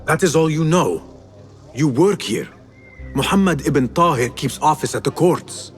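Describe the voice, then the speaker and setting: An actor playing a smooth-talking young male infiltrator in a game cutscene, courtly and measured, with a teasing, probing manner. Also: on the high side, expressive, faint music behind. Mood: polite, wry. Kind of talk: video game cutscene acting.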